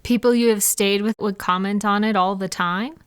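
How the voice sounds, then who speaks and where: A woman plays an affectionate girlfriend in an intimate roleplay audio. Her voice sits at a medium pitch for a woman. She chats playfully and reassures her partner with gentle, caring attention, as if in a private one-sided conversation. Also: fairly even intonation, moderate pace, smooth, natural voice. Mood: protective, concerned. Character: caring, gentle, chatty girlfriend comforting a night-owl partner.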